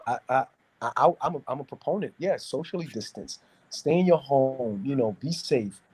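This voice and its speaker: A man from New City, talking casually and giving opinions in a halting way, repeating words as he searches for phrasing. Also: medium pitch, lively intonation, darkish tone, husky, low-quality recording. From New York City, United States. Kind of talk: casual discussion, opinionated talk.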